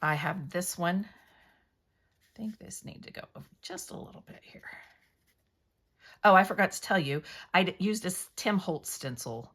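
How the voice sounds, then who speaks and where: Hoarse woman chatting over her craft desk. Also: lowish voice, expressive, a little slow, long pauses, fairly bright tone. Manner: chatty, rambling, self-correcting. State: hoarse from yelling at a game. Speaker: scrapbooking channel host. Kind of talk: casual crafting tutorial narration. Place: home craft table.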